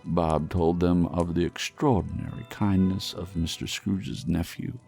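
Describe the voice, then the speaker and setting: A man reading a classic tale aloud as a dramatic storyteller, in a measured, solemn manner. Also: sits low, little pitch movement, creaky, dark tone, soft background music. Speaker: audiobook reader.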